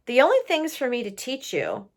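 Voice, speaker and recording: A woman voicing a stern old male immortal teacher in a storybook, speaking emphatically and deliberately. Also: high voice, huge pitch swings, creaky, voice pushed up, exaggerated voice.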